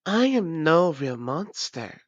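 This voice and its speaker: A woman as a slow, menacing vampire ruler. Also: deep voice, sing-song, no room sound, lo-fi sound, heavily acted. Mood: imperious, solemn. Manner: taunting, deliberate, imperious. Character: proud, menacing female vampire ruler, former hunter. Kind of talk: dramatic audio roleplay monologue.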